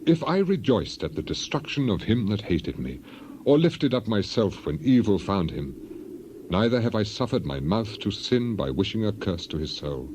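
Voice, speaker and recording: Male actor as righteous suffering man, dramatized scripture, emphatic declamation, lively low voice, noisy background. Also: normal speed. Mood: solemn, insistent.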